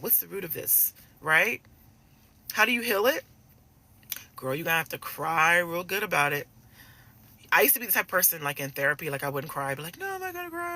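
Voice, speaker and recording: A woman's voice: on the low side, bright, forceful tone. A woman in her forties speaking as a relationship coach in a casual motivational live chat, emphatic and direct, repeating phrases to drive her points home. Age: 40s. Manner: direct, familiar address to listeners. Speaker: relationship coach addressing women.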